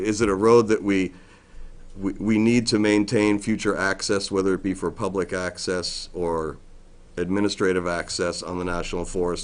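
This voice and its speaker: A middle-aged man with a very deep, booming voice gives an informal briefing as a forest ranger in a town meeting room. He talks in a flat, even tone, with plenty of ums and restarts. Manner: loud, plainspoken, with frequent ums and restarts.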